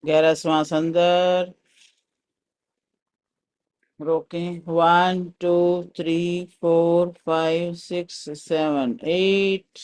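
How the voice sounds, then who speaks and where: Slow, higher-pitched man guiding meditation. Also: smooth. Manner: calm, soothing, unhurried instruction. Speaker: guided meditation teacher. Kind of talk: guided meditation with breath counting and mantra chanting.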